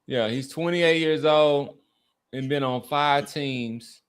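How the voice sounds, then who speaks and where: Higher-voiced man debating sports casually. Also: slow pace, clear, resonant tone. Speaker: sports podcast host.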